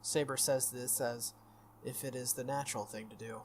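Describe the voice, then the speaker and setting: A man reading visual novel text aloud and commenting as he plays, with a halting, think-aloud delivery. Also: mid-range voice, fairly even intonation, a little hiss or hum. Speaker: playthrough host. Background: game music.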